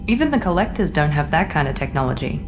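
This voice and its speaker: A woman's voice: sits low, very animated, fast, over music. A woman playing a serious, cautious starship officer in a video game. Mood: wary. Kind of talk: scripted game dialogue.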